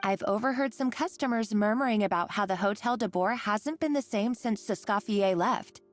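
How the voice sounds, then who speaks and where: A woman's voice: mid-range voice, normal speed, natural voice. A woman voicing a timid young female kitchen worker who stammers and halts, tearful and guilt-ridden. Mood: hesitant. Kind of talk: game voice acting. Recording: game audio with soft music.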